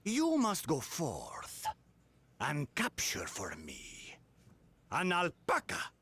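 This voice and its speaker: Grandiose scheming rancher from a fantasy game, highish husky voice, pitch swoops everywhere. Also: male voice, wildly swooping pitch, extremely slow, a bit bright, full character voice. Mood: dramatic, gleeful.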